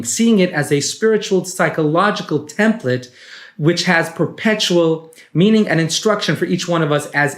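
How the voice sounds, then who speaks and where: A man, a rabbi and author, explaining teachings in a measured, didactic way. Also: on the high side, reverberant.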